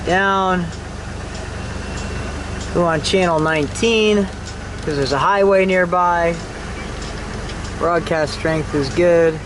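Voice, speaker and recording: High-voiced man, casual DIY tutorial. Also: slow, long pauses, noisy background. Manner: informal, self-deprecating, mildly salty slang. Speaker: host of a DIY car how-to video. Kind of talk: casual hands-on tutorial narration. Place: in and around a vehicle while working on it.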